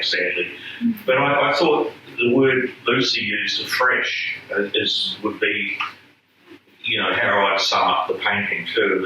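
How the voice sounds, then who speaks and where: A man from New Zealand, a painter, talking casually at a gallery event, self-deprecating and halting with many restarts. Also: low-pitched, pushed and bright, a little hiss or hum. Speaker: painter guest. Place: gallery room. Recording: echoey room from far off.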